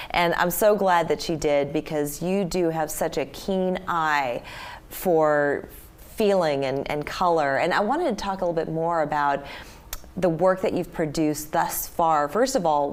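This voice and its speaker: Brief: woman TV host, very low voice, eager and full of praise. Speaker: TV news show host. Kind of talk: TV interview.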